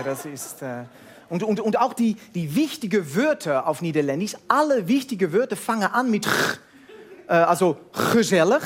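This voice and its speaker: A Dutch-speaking man, a cabaret performer, joking in German and chuckling through his routine. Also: high voice. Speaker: cabaret artist. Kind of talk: comedy stand-up.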